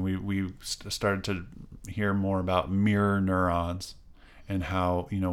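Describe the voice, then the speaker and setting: A middle-aged man co-hosting a parenting podcast who speaks thoughtfully and haltingly, with many fillers and restarts. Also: sits low, clean tone. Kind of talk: casual conversation.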